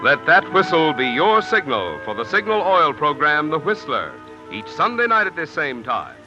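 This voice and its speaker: Formal 1950s radio announcer. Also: male voice, a little high, big pitch swings, moderate pace. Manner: formal, crisp announcer delivery. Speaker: sponsor announcer. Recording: dull lo-fi 1950s radio recording with music.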